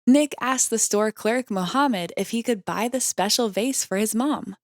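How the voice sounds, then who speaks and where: A woman's voice: on the high side, a little slow, no echo, clean studio sound. A woman narrating a children's storybook as a read-aloud. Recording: clearly noisy background.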